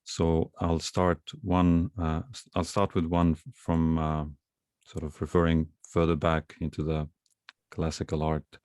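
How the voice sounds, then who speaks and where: Male poet, very low monotone, calm, with pauses. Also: clear, resonant tone, no room sound, clean studio sound. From Estonia. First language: Estonian. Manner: calm and unhurried, with many pauses. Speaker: guest poet. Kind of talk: casual talk before a reading.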